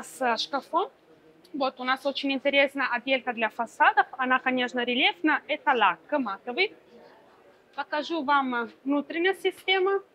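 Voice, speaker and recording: A woman's voice: high-pitched, pushed and bright, poor audio. A woman in her thirties presenting furniture at a fair stand, explaining fluently with many small fillers. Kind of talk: product demonstration.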